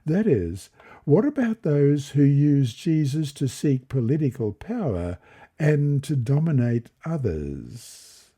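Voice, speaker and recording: Devotional reader: man, very mellow and relaxed, husky edge, deliberate delivery. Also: medium pitch. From Australia. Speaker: lesson reader and presenter. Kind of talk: scripted devotional narration, reading aloud.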